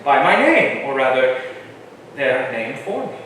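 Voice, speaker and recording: An actor playing an earnest young interpreter, respectful, tactful and hesitant, in a staged reading of a historical play. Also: male voice, mid-range voice, sing-song, a little slow, fairly bright tone. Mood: awkward, hesitant. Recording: echoey room from far off, faint noise.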